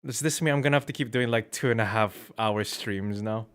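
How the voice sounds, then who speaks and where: A man's voice: on the low side, brisk, a bit bright, clear, resonant tone. A man chatting casually on a livestream, rambling and swearing freely. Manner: profane, rambling. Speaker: streamer.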